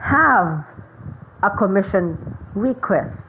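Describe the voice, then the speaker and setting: A woman's voice: medium pitch, expressive, takes it slowly. A woman giving casual, rambling gameplay commentary, thinking aloud as she streams. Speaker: gaming streamer. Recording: thin phone-like mic, noisy.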